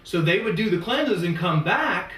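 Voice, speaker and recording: A man's voice: high voice, expressive, normal speed, pushed and bright. A man working as a natural health practitioner, explaining his approach to a client in a lecturing way, building long lists and analogies. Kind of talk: consultation with a client. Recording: echoey room, mic at a distance.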